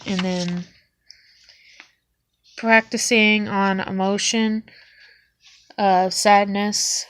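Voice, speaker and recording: Young woman, sniffly cold voice, halting hobby narration, plenty of pauses and restarts. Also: mid-range voice, level tone, a bit bright, slight background noise. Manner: hesitant, with many ums and restarts. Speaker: vlogger showing her sketchbook. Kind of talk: casual sketchbook walkthrough.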